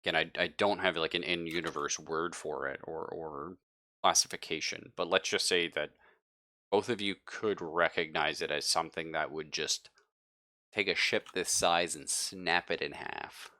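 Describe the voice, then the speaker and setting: Deep, bright-voiced man running a tabletop game. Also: fairly even intonation. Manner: halting, informal, thinks aloud. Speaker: game master. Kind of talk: casual improvised narration of a tabletop game.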